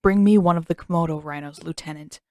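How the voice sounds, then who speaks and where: A woman's voice: deep voice, fast, darkish tone, no room sound, studio quality. A woman voicing an angry teenage prince in an audiobook, terse and speaking through clenched teeth. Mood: curt, tense.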